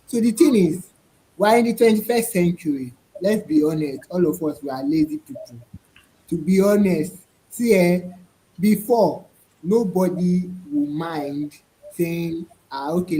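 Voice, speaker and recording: A man's voice: on the high side, mellow, rough recording. A man in a casual roundtable discussion over a video call, who restarts often and keeps asking "right?". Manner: hesitant restarts, frequent "right?" and "to be honest". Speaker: panelist.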